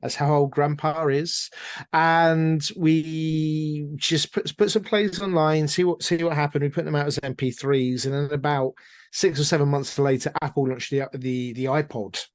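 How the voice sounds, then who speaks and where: A man's voice: a little high, fairly even intonation, moderate pace, no echo. Wry middle-aged man, dry sound. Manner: wry, self-deprecating, chatty. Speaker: interview guest, audio drama producer. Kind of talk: casual interview storytelling.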